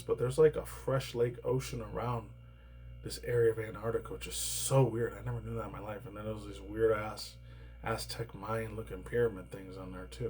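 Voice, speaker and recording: A man rambling through casual news commentary, swearing often and laughing between remarks, his voice slightly raspy and tired. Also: lowish voice, darkish tone, a little hiss or hum. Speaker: commentary YouTuber.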